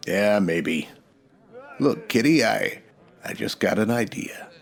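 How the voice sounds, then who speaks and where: A man's voice: fairly low pitch, animated, slow pace, creaky, heavily acted. A man playing an upright, plain-spoken Old West marshal in a radio western, earnest and folksy. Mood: calm, earnest.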